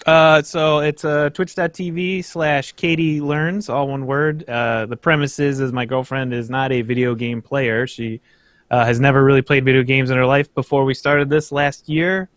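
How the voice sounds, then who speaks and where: A young man talking casually as a guest, relaxed and halting, with many pauses and fillers. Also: medium pitch, no echo. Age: young adult. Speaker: streamer and guest reader. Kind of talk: casual chat.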